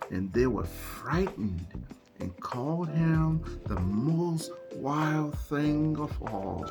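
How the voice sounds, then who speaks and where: Very low-voiced woman reading a bedtime story. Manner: dramatic, with emphasized words and drawn-out phrases. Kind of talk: expressive bedtime picture-book reading. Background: music.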